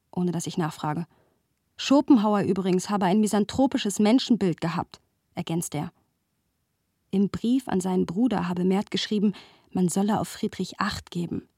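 A woman's voice: medium pitch, dark tone, husky, no room sound, studio quality. An actress playing a thoughtful, playful young woman who narrates a literary radio play. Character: thoughtful, playful young woman recounting her close male friend. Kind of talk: literary scripted narration with lively dialogue.

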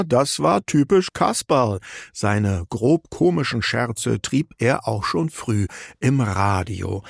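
A man's voice: medium pitch, creaky, breathy edge, no room sound, studio quality. A man presenting a radio programme with scripted narration.

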